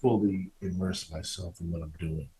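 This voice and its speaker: A man hosting a casual interview podcast, speaking in an affirming, halting way and repeating himself as he goes. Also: sits low, fairly even intonation, mellow, a little hiss or hum, poor audio.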